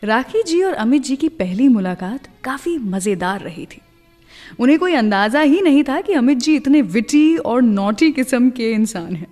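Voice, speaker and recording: A woman's voice: a little high, long reverb tail. A woman hosting a radio show, telling chatty anecdotes in a scripted style.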